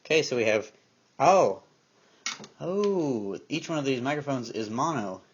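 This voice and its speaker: A man's voice: mid-range voice, expressive, unhurried, breathy edge. A man giving a casual, unscripted show-and-tell, musing aloud to himself as he handles gear, recorded indoors on a handheld camera's microphone. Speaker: vlogger showing a collection of tape recorders. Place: indoor room at home. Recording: dull, noisy handheld camera sound with clicks.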